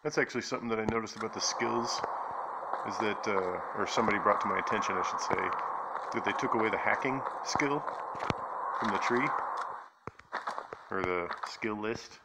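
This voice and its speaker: Low-voiced man, casual gamer, noisy recording. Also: moderate pace, pauses often. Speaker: gamer, channel host. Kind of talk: casual gameplay commentary. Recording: clearly noisy game audio, clicks.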